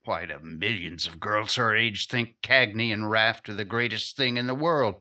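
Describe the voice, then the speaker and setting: An actor playing an earnest, persuasive newspaper reporter in a 1950s radio drama. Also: male voice, on the low side, normal speed, bright, forceful tone.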